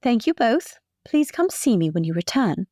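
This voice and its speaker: A poised high-society lady, acted by a woman in a tabletop game. Her voice is fairly high and dry, with refined, formal phrasing and a patronising, imperious edge. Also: sing-song, moderate pace, dark tone, no echo. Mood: gracious, composed. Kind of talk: improvised tabletop roleplay.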